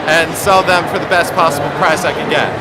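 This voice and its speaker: A man's voice: on the high side, fairly even intonation, fairly quick. A man in costume chatting casually at a busy convention, rambling and self-amused. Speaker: convention cosplayer. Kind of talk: casual interview chat. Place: crowded convention hall. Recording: loud convention noise behind.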